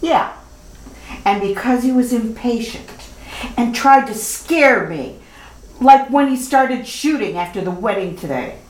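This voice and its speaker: A woman's voice: a little high, animated, a little slow. A woman playing a coy, sugary and coolly murderous bride in a retro radio mystery. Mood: cold, businesslike. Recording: roomy, distant, slightly noisy recording.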